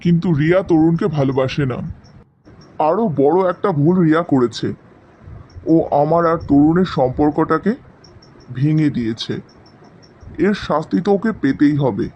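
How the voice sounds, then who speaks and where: A man's voice: fairly high pitch, moderate pace, pauses often, darkish tone, lightly acted. A man voicing a protective, determined young man in cartoon drama dialogue. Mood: resolute. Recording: slightly dull sound, faint noise.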